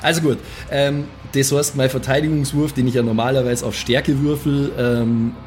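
A man's voice: medium pitch, fairly even intonation, normal speed, a little mellow, faint music behind. A man chatting casually as a player in a tabletop role-playing game, talking through moves and rules. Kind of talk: casual improvised game chat, rules talk.

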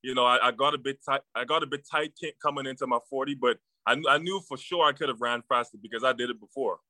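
A young man from the Bahamas, a newly drafted football player, answering press questions in a halting way with many fillers. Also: mid-range voice, bright, forceful tone, no echo. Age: young adult.

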